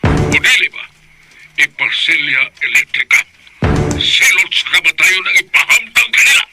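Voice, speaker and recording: A man's voice: high voice, expressive, normal speed, over music. A man playing an indignant, vengeful older rich man in a Filipino radio drama, with an emphatic delivery and a Cebuano accent.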